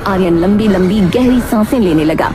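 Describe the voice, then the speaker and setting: A woman's voice: medium pitch, normal speed. A woman reading a horror story as a dramatic scripted narrator, with music behind her. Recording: very loud music under the voice.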